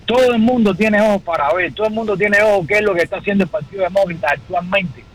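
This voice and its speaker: Male phone-in caller, high-pitched, delivers political opinion bluntly and repeats for emphasis. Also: fast, rough recording.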